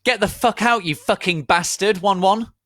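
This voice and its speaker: A melodramatic teenage goth girl, as a man puts her on, indignant and exclaiming. The pitch leaps far above a natural low male register, in a dry, close storybook reading. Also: normal speed, fairly bright tone, no echo. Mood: furious.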